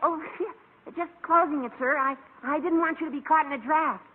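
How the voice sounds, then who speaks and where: A man playing an eager, scheming teenage schoolboy with excitable earnestness in a vintage radio sitcom. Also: very high voice, fairly quick. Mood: flustered. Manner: excitable, gee-whiz earnestness. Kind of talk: 1940s-50s radio sitcom acting. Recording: noisy, tinny vintage radio recording.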